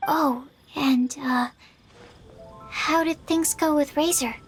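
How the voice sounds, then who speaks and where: A shy, earnest young female alchemist from a video game, very high in pitch. She speaks hesitantly and politely, like a studious type, over soft background music. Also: steady tone, slow. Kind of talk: video game character dialogue.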